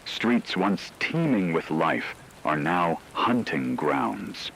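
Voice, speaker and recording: A man playing a solemn radio news anchor, reading a formal, measured emergency broadcast in a scripted drama. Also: sits low, big pitch swings, vocal fry, a bit bright, a little hiss or hum, exaggerated voice, low-quality recording. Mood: grave, urgent. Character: grave male radio news anchor reporting a werewolf apocalypse.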